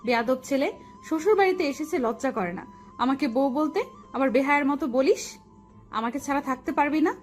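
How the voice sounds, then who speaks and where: A woman acts a feisty young fiancee in an audio story. She is sharp-tongued and scolding, high in pitch, with music behind her. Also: expressive, fairly quick. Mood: indignant. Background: background music.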